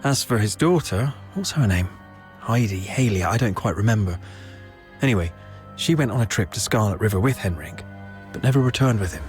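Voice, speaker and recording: An actor playing a cornered, gossipy informant in a video game, pleading and blustering. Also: male voice, fairly low pitch, lively intonation, fairly quick, soft background music, lightly acted. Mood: gossiping, reluctant. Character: cornered, gossipy male informant, whiny and indignant. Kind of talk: video game cutscene voice acting.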